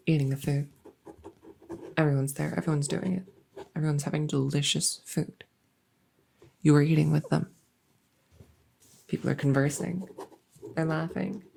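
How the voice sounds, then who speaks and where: A woman playing a silly, harmless female monster under the bed in an ASMR roleplay, soft and often whispering, rambling and repeating herself with playful earnestness. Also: low voice, vocal fry, a little hiss or hum. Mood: scheming, suggestive. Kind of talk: whispery ASMR audio roleplay, addressing the listener.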